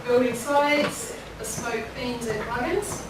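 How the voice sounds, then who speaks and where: A woman's voice: on the high side, steady tone, slow. A woman host casually introducing the next speaker at an event, hesitating with ums. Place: event room with audience. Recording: echoey room, distant mic, noisy.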